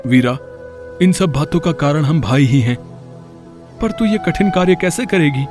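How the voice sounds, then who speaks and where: A protective storybook brother, played by a man, worried and full of schemes. His voice sits fairly high and moves widely in emphatic, theatrical lines. Faint music plays behind. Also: lively intonation, normal speed, natural voice. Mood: concerned.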